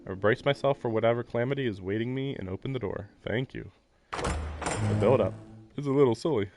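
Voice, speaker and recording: A man's voice: mid-range voice, brisk, darkish tone. A man livestreaming a visual novel, reading the narration aloud and adding rambling, amused casual commentary. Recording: game music playing behind. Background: video game music.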